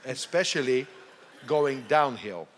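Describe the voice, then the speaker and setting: A man with a Bulgarian accent answers questions on stage as a missionary guest, halting and restarting as he goes. His voice sits at an ordinary male pitch. A long reverb follows him through a large hall. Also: expressive, a little hiss or hum. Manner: halting, with many restarts and fillers. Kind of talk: interview on stage.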